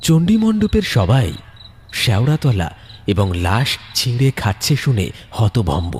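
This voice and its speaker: A man's voice: mid-range voice, huge pitch swings, unhurried, darkish tone, a little hiss or hum. A man narrating a scripted horror story in a dramatic style.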